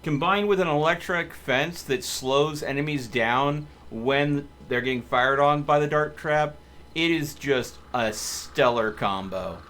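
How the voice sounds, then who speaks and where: A man's voice: on the high side, measured pace, a bit bright. A man hosting a multiplayer game stream with casual live commentary. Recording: faint background noise.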